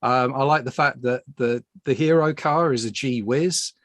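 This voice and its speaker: A man's voice: medium pitch, no echo, clean studio sound. A man hosting a casual film discussion, often repeating words as he works out his point. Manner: halting, repeating words as he goes. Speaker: podcast host.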